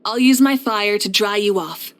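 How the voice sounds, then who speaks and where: A woman playing a confident, kindly fire archon and war leader in a video game, speaking in an assured, measured, encouraging way. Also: mid-range voice, close to an everyday voice. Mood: warm, easygoing. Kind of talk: scripted video game character voice lines.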